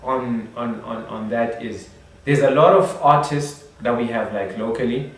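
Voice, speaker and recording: Animated man in an echoey room. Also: mid-range voice, a little slow. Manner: animated, repeats words, laughs often. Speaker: musician guest. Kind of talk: casual interview chat. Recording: echoey room, distant mic.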